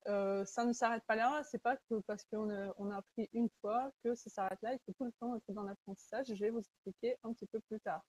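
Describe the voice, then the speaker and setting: Husky-voiced woman presenting a webinar. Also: mid-range voice, warm tone, no room sound, low-quality recording. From Kazakhstan. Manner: earnest, conversational, searching for words. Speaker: webinar host, Salesforce consultant.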